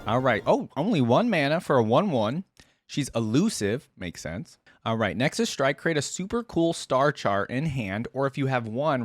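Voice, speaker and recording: A man giving casual, enthusiastic reaction commentary as a video host, chatting directly to his viewers. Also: medium pitch. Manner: enthusiastic, conversational, addresses viewers as "guys". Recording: music playing behind the voice.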